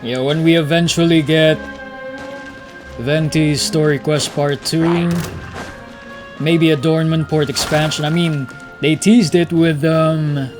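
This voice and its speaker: A man's voice: a little high, steady tone, takes it slowly. A man streaming a video game, giving laid-back, slangy reaction commentary over game music.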